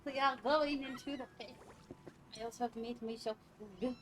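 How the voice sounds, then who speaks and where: A woman's voice: fairly high pitch, steady tone, normal speed, a bit bright, a little hiss or hum. A woman livestreamer giving casual gameplay commentary, thinking aloud as she plays. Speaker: livestreamer playing a game.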